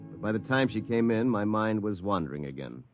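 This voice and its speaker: An actor narrating a 1950s radio drama as a wry, hapless young lawyer with a dry, deadpan delivery. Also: male voice, lowish voice, a little fast, highs cut off. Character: wry, hapless young lawyer telling his own story. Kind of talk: 1950s radio acting, first-person narration. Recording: 1950s radio recording with music behind.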